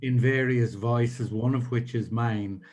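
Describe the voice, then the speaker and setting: An older Irish poet speaking as a guest in an interview over a video call from his home, in a measured, halting way. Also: medium pitch, fairly even intonation, a little mellow. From Ireland. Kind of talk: conversational interview. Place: home in Ireland.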